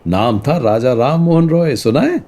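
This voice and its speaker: A man's voice: medium pitch, moderate pace. A man with an Indian accent playing an enthusiastic, encouraging teacher who explains history conversationally in a radio play. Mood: enthusiastic, explanatory. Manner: animated, encouraging, asks rhetorical questions. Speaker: teacher and storyteller.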